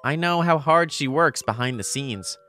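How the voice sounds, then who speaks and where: Woman as flustered teen boy, low voice, earnest, expressive, manga dub narration, light background music. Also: normal speed. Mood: reflective. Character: earnest, easily flustered teenage boy, idol's fiancé. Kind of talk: manga dub dialogue and first-person narration.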